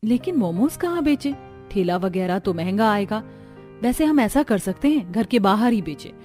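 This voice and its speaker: A woman's voice: medium pitch, animated, moderate pace, warm tone, soft background music, close to an everyday voice. A woman playing chatty young village women in a cartoon story. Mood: thoughtful.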